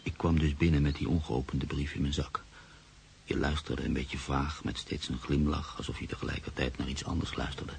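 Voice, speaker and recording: An actor playing a brooding bachelor narrator in an intimate radio drama, halting and confiding, often dropping to a murmur. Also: male voice, very deep voice, level tone, gravelly. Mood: tender. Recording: noisy radio play recording.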